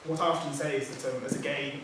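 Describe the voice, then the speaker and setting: A man's voice: mid-range voice, flat delivery, background noise. Man reading a talk in a far, echoey hall. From Nigerian heritage, raised outside Nigeria. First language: English. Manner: measured, with small self-corrections. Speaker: panel speaker, poet. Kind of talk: reading a prepared talk at a writers' panel. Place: theatre hall.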